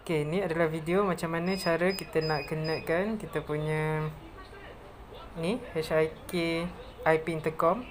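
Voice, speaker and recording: A presenter with a Malaysian accent narrating a step-by-step software tutorial, mixing in English technical terms. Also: medium pitch, fairly even intonation, moderate pace, a little hiss or hum.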